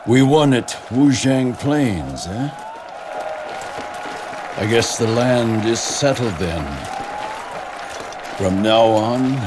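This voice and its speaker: A man acting a weary, resigned old general in a video game cutscene. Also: on the low side. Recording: clear crowd noise behind the voice.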